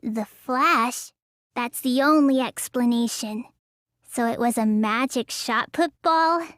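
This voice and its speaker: A woman's voice: on the high side, slow, a bit bright, no echo, studio quality. A woman voicing a sluggish, stubborn, gullible teenage girl magician in an English video game dub, with a lazy, childish drawl that turns whiny. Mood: listless, matter-of-fact.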